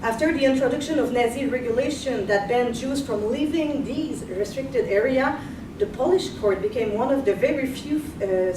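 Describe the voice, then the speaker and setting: Young woman lecturing in an echoey room. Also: a little high. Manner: measured, earnest, stops to rephrase. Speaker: guest lecturer. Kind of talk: history lecture, partly read from notes. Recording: echoey room, far mic, background noise.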